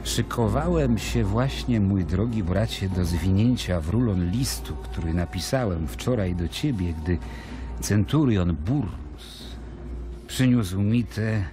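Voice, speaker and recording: A very deep-voiced Roman governor in a radio play. He is weary and wary, delivering a measured, disdainful monologue like a letter to family. Music plays clearly behind him. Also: warm tone, heavily acted. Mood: troubled, uneasy. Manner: brooding, measured, disdainful, dropping at times to near whisper.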